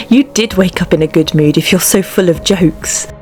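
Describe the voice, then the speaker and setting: A woman's voice: on the low side, a little slow, natural voice. A woman playing an affectionate, teasing young wife and princess in a fan dub drama. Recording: light music behind the voice.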